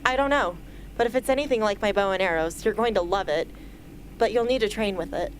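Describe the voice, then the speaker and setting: A woman's voice: mid-range voice, a little fast, natural voice, poor audio. A woman playing an earnest, guarded teenage girl soldier in an amateur radio drama. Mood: friendly. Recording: hobby recording with ambient noise.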